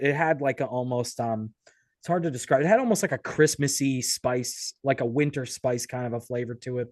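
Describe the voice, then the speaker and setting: A man's voice: medium pitch, no room sound, studio quality. A man hosting an interview in a casual, conversational style. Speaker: interview host.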